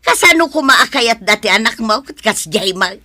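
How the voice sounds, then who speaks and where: Woman acting a bright, animated disdainful mother. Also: a little high, moderate pace. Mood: scornful, suspicious. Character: disdainful, skeptical mother, a gossipy relative. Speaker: actor in an audio drama. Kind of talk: melodramatic Ilocano radio drama acting.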